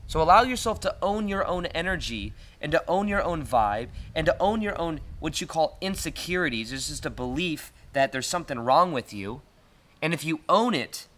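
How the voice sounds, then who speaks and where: A man with an American accent and a naturally loud voice, talking earnestly in a casual motivational monologue to the camera. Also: a little high. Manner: earnest, fillers and restarts. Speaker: self-help video host. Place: retreat in Costa Rica.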